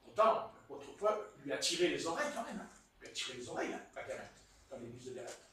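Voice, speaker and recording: A man with a Marseille French accent preaching a sermon in a church hall, telling stories in a playful, animated way. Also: a little high, quick pace. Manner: animated, playful storytelling with chuckles. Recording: echoey hall, mic far off.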